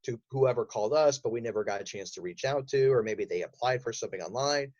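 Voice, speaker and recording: A man telling stories casually as a podcast guest and voice actor, animated in manner. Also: mid-range voice, fast talker, dull audio. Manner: animated, acts out quotes. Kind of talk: casual interview storytelling.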